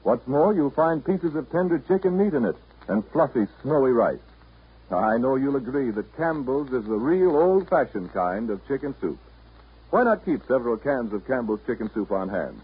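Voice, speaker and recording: A male radio announcer giving a savoring, dramatic 1930s sales pitch. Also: lively intonation, moderate pace, slightly rough. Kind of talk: 1930s radio advertising read. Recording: tinny 1930s radio recording.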